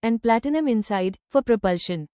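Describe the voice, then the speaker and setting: Woman, higher-pitched voice, smooth, dry close sound, scripted read-aloud, measured phrasing. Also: lively intonation, mellow, no echo. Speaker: reader of practice passages.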